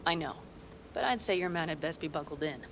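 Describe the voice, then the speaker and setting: A woman's voice: deep voice, animated, a little fast, fairly bright tone, a little hiss or hum. An actress voicing a blunt, self-assured female desert nomad in a video game who lays out plans in a dry, matter-of-fact way. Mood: calm, assured. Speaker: game character.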